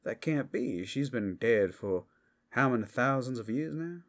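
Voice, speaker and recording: A man's voice: mid-range voice, expressive, unhurried, pitched above the performer's own voice. Man playing an anxious, fretful young-hearted brothel worker. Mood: alarmed, protesting. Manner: fretful, hesitant, protesting. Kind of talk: ASMR fantasy roleplay.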